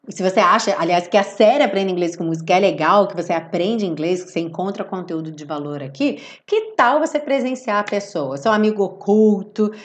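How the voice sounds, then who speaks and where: A Brazilian woman with a medium-pitched voice, a little hoarse from a cold. She talks informally to viewers as a teacher on a livestream, in an expressive, affectionate and chatty way. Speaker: English teacher hosting a live online music-based class.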